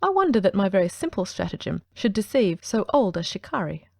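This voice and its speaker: A woman voicing a brilliant male detective who speaks in a brisk, precise, ironic way, in an audiobook reading. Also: medium pitch, sing-song, gravelly, a little mellow, no echo, clean studio sound.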